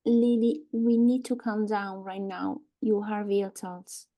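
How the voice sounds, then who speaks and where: A woman playing an anxious, apologetic hospital social worker in a dramatic table read, earnest, deliberate and pleading yet firm. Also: medium pitch, steady tone, dark tone. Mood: urgent, pleading. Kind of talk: live screenplay table read, dramatic acting.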